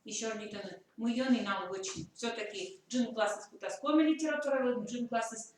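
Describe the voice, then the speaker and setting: A woman's voice: a little high, brisk. A woman teacher, explaining at length in a work meeting. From Komi Republic. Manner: explains at length, thinking aloud. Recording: echoey room from far off, faint noise.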